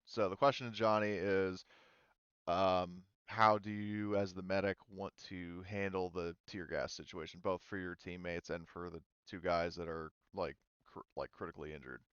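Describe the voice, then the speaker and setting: A man working as game master, casually narrating a tabletop game in halting, improvised phrases. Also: deep voice, fairly even intonation, fairly bright tone, clean tone, no echo, lo-fi sound.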